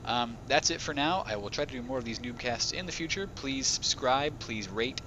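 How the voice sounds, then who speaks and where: A man's voice: medium pitch, lively intonation, normal speed, muffled recording, noisy background. A man casting a strategy game match for beginners, explaining patiently with frequent fillers, his microphone sometimes making his voice sound robotic. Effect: occasional robotic microphone distortion. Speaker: game commentator. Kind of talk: beginner-friendly game commentary. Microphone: home microphone. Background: loud game music and battle sounds.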